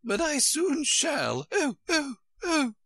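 A man voicing a flighty, imperious older queen from a storybook in a shrill, squeaky, swooping voice. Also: wildly swooping pitch, takes it slowly, pushed and bright, no room sound, full character voice. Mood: shrieking, agitated. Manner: emphatic, swooping, exclaiming.

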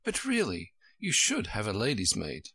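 A man voicing Alice, a polite and timid young girl, in a gently raised voice. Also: lowish voice, huge pitch swings, normal speed, creaky, slightly rough, dry recording, exaggerated voice.

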